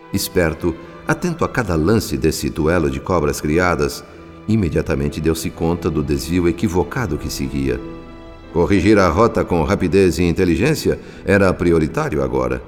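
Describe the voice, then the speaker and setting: A man with a Brazilian accent playing a solemn spirit who narrates a radio drama in a theatrical, literary manner. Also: low-pitched. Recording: long reverb over soft music. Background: soft music.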